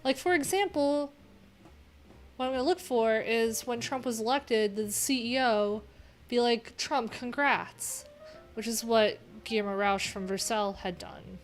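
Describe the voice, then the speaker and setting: Higher-voiced woman, candid livestream chat. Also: measured pace. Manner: candid, rambling, thinking aloud. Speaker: livestream host, developer. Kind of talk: casual livestream chat while browsing. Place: small cluttered room indoors. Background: music.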